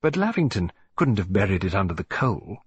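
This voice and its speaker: A man voicing an earnest, proper gentleman who speaks in an indignant, straight-laced way. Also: deep voice, very animated, fairly quick, slightly rough, muffled recording, heavily acted. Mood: incredulous.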